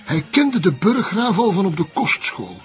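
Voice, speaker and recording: A man playing a cautious old retired journalist in a TV crime drama, with a Flemish accent and a measured, wry way of talking. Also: high voice, very animated, soft background music. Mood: discreet, gossiping. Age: older.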